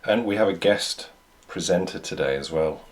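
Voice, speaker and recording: A man's voice: deep voice, normal speed. A man co-hosting a casual home chat show, joking and teasing his co-host.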